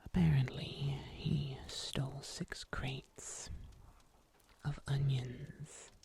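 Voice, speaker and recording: Seasoned fantasy huntsman, played by an ASMR performer: hushed breathy whisper, mellow, very unhurried storytelling. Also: medium pitch, little pitch movement. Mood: calm, storytelling. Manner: slow, deliberate, hushed storytelling with long pauses.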